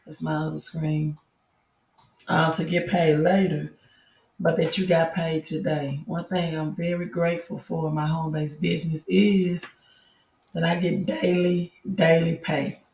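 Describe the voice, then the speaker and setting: A woman with a raspy, hoarse voice hosting a casual late-night live stream from home, chatting slowly and greeting viewers by name. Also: sits low, level tone, darkish tone, roomy sound, distant mic. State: hoarse from yelling while coaching. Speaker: crypto and news live streamer. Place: at home at a laptop.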